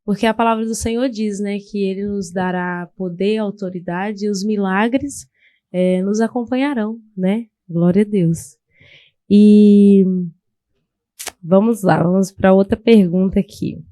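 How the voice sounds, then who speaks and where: A woman's voice: mid-range voice, steady tone, unhurried, warm tone, no room sound, studio quality. Affirming woman podcast host. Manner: affirming, with frequent "glória a Deus". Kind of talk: church podcast interview.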